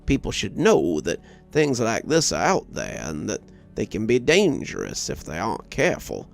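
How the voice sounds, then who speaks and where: Guy doing an earnest buddy in a spooky story, confiding, lowered creaky voice, faint music behind. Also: wildly swooping pitch, moderate pace, full character voice. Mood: earnest, cautioning. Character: earnest young male friend who believes in folk creatures.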